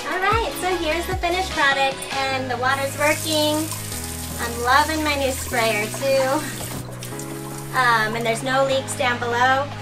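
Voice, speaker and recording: High-voiced woman wrapping up an rv vlog, upbeat, music going loud behind her. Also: fairly even intonation, a little slow. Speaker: co-host of RV renovation vlog. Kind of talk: casual wrap-up to camera. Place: inside a motorhome kitchen.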